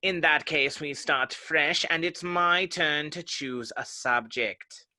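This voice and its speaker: A man's voice: a little high, slow, sharp tone, full character voice. A man playing a haughty, touchy, pedantic egg character in a dramatic reading of a children's classic. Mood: pompous, contradicting.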